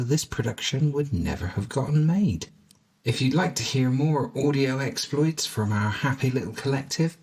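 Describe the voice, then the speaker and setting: A man's voice: medium pitch, breathy edge, low-quality recording. A man reading the credits and a friendly outro for an audio drama podcast. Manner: friendly, measured announcing.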